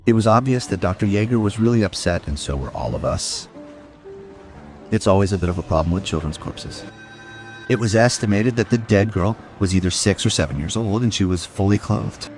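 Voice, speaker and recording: A man playing a world-weary police detective, narrating a crime audio drama in a measured, musing way. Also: fairly low pitch, a little mellow, lightly acted. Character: world-weary male police detective telling his case.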